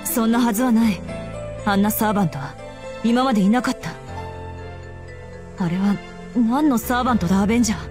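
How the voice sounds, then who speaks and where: An actress playing a serious, formal young mage fighter in a visual novel game, with clipped, emphatic phrasing. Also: female voice, fairly high pitch, fairly quick, pauses often, music in the background, natural voice. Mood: disbelieving, tense.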